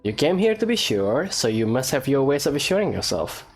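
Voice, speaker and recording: A woman's voice: rumbling low, very animated, fairly quick. A woman hosting a gaming let's-play, giving casual, playful commentary as she reacts aloud to the game. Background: game music.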